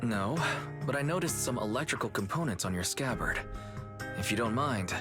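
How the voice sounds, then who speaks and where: An actor voicing an earnest, caring young guide in a video game, speaking expressively with some hesitance and a gently teasing streak. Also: medium pitch, lively intonation, normal speed, natural voice. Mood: earnest, determined. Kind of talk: dramatic video game voice acting. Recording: music behind the dialogue.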